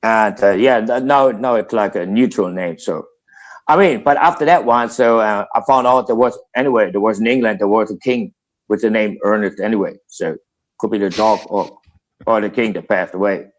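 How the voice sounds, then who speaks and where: A man's voice: fairly low pitch, moderate pace, poor audio. A man, the band's founder, telling a story in casual interview chat, rambling with many fillers and restarts. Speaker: band founder and guitarist guest.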